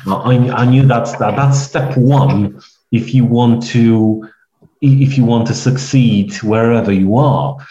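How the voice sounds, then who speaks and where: A man with a Polish accent, a guest in an interview, telling stories in a halting way with many restarts. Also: medium pitch, mellow. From Poland. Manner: rambling, halting storytelling with frequent restarts. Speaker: guest, networking coach. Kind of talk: conversational podcast interview. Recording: echoey, dull lo-fi sound.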